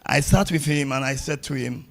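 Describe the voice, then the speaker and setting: A man's voice: medium pitch, moderate pace, gravelly. A man giving a public ceremony speech as a table tennis coach, blunt and repeating phrases for emphasis.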